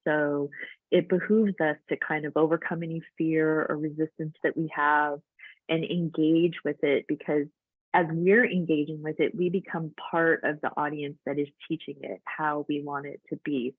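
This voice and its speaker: A woman's voice: low-pitched, no echo. A woman coaching host running a casual webinar, talking in loose, chatty run-on sentences over a video call. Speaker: life coach hosting a webinar. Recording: thin phone-like audio, dry.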